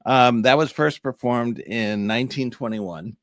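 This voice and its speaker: A man's voice: mid-range voice, a little slow, no room sound, clean studio sound. A middle-aged man co-hosting a casual chat, laid-back and rambling. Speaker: magician and co-host. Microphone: studio mic.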